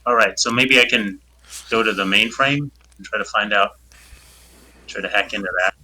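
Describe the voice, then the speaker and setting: A man's voice: fairly low pitch, normal speed, sharp tone, slight background noise, low-quality recording. A man playing a tabletop role-playing game, rambling as he explains what his character does. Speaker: player.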